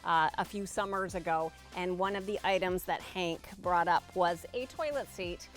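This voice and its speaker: A woman hosting a casual festive show, chatting in an expressive, exclaiming way. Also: on the low side, normal speed, soft background music. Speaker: show host.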